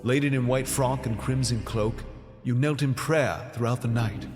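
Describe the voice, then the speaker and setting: An actor in an audio drama playing a noble, commanding medieval king with a regal, measured manner. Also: medium pitch, fairly even intonation, over music, close to an everyday voice. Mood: solemn.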